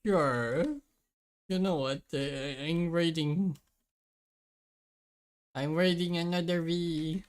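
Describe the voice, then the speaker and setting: A streamer of unclear sex with a rough, strained voice, chatting casually and excitably while playing a game, giggly and given to repeating little phrases. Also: medium pitch. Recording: music behind the voice.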